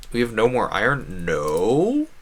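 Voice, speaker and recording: A man's voice: medium pitch, wildly swooping pitch, takes it slowly, poor audio. A man chatting casually as he streams a video game, musing aloud and often rephrasing himself mid-thought. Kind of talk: casual rambling stream commentary over gameplay.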